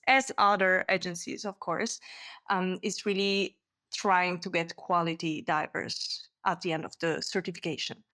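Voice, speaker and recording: A woman's voice: lowish voice, a bit bright, clear, resonant tone. Woman, laughing a lot. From Switzerland. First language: Italian. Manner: laughs often between sentences, cheerful. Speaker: guest, technical diver. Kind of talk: casual podcast interview.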